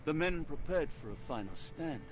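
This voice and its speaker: An actor playing a guilt-ridden, middle-aged army surgeon who speaks formally and gravely in a game. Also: male voice, on the high side, very animated, normal speed. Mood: mournful. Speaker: game character. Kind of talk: voice acting. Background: game music.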